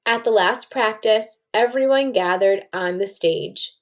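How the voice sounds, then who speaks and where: A woman's voice: mid-range voice, a little slow. A woman reading a storybook aloud to children and explaining it like a teacher, with questions to the listeners.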